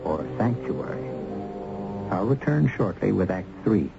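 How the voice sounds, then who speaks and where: A man narrating an old-time radio drama as host, in a measured, ominous, theatrical manner. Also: fairly low pitch, animated, vocal fry, over loud music. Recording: muffled old radio broadcast.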